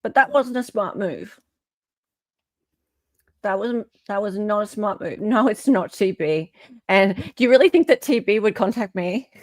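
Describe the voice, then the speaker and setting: A woman's voice: mid-range voice, lively intonation, unhurried, a little mellow, dry recording. Australian woman, emphatic livestream host, dry sound. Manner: emphatic, repeats phrases for effect. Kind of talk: casual live chat commentary.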